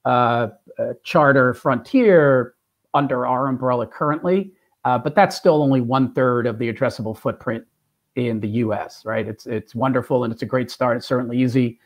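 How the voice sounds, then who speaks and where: A man's voice: mid-range voice, moderate pace. Upbeat man on a video call. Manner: steady, upbeat business talk with fillers. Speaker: sales executive panelist. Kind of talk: video call panel answer. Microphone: video call webcam.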